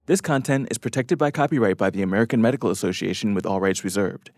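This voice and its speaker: A man's voice: lowish voice, fast talker, darkish tone, no echo, clean studio sound. A man reading a scripted notice as an announcer. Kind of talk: scripted copyright notice read.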